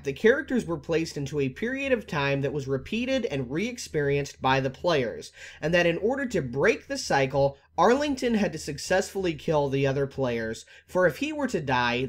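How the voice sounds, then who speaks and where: A man with a fairly high voice narrates scripted gaming explainer videos. His delivery is animated and emphatic, with big swings in pitch and formal phrasing. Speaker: video game lore YouTuber.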